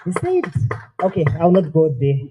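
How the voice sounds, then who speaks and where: A man's voice: a little high, moderate pace, noisy background. A man with a Zimbabwean accent speaking as master of ceremonies, telling stories informally and playfully to a formal gathering. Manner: playful, animated storytelling. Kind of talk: informal public speaking, humorous anecdote. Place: hotel function room at a formal event.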